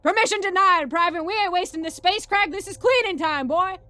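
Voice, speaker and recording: Woman doing a loud hot-tempered male space sergeant. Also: high voice, normal speed, dry recording, voice pushed up. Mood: bossy, shouting. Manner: barking drill-sergeant commands.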